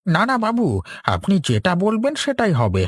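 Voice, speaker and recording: A man's voice: high voice, huge pitch swings, moderate pace, dry recording, studio quality, heavily acted. A man playing a meek villager in a cartoon, deferential and eager to please his boss. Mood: obedient, placating. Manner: deferential, placating. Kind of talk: Bengali cartoon dialogue.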